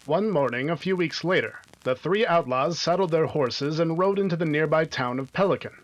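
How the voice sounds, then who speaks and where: A male narrator tells an old-time radio adventure story from a script. His voice is a little high for a man. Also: normal speed, low-quality recording.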